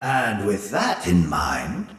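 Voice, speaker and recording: Game villain: sinister older scientist, slow, mockingly polite delivery with extreme melodic swings, gleefully superior, echoey and off-mic. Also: male voice, on the low side, huge pitch swings, a bit bright, heavily acted. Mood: mock-polite menace. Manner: mocking, theatrically polite, drawn-out phrasing. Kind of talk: theatrical video game villain acting. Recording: roomy echo, distant from mic.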